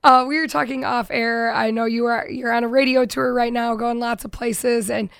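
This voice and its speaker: Upbeat Midwestern radio host woman, gravelly. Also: on the high side, steady tone, normal speed, dry recording, studio quality. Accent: Upper Midwestern American. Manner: upbeat, laughs often. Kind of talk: radio interview. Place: radio studio.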